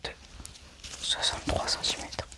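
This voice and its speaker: A person whispering an ASMR medical roleplay as an examiner, methodically and softly narrating each step. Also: unhurried. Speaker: ASMR roleplayer acting as an examiner doing an alien autopsy.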